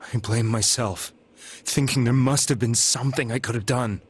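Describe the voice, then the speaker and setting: An American man voicing a troubled middle-aged game character who confesses with halting, anguished earnestness, full of guilt and grief. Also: medium pitch, breathy edge. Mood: grieving, dejected. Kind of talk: dramatic video game monologue.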